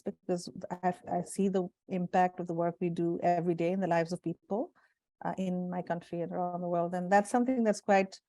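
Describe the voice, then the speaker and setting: Thoughtful woman with a mellow voice. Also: lowish voice, no echo. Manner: thoughtful, many fillers and restarts. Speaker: guest, executive director. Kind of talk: video call interview. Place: home room indoors. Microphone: earphone headset on video call.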